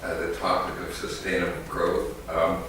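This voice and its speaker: Deep-voiced man in an echoey hall. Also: monotone, moderate pace. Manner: hesitant, many fillers and restarts. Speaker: conference speaker, economist. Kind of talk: academic talk, unscripted. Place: conference hall. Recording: echoey hall, distant mic, noisy.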